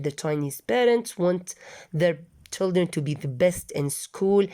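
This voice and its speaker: A woman English teacher reading a story aloud to her students, pausing to explain words and restate ideas patiently. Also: on the low side, mellow.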